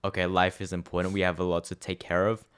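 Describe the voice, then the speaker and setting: Low, smooth-voiced young man musing. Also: completely flat delivery, fast talker, fairly bright tone. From Australia. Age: young adult. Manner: rambling, filler-heavy, earnest and reflective. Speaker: podcast co-host. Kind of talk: casual chat.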